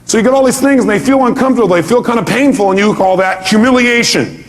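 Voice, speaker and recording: A man's voice: high voice, fast. A man giving a motivational seminar talk to an audience, speaking emphatically and pressing points with rhetorical questions.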